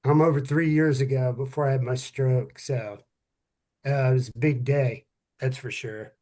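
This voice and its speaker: A man's voice: mid-range voice, fairly even intonation, moderate pace, mellow. Candid middle-aged man talking from the heart. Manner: earnest, candid, salty-tongued. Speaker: co-host of a first responder podcast. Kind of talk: casual heartfelt talk among friends.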